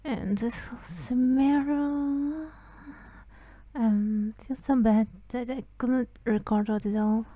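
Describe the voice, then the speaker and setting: Female gamer, casual commentary: mellow tone, hesitant, extremely slow delivery over game music. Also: on the high side, fairly even intonation. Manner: halting, hesitant. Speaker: gaming content creator.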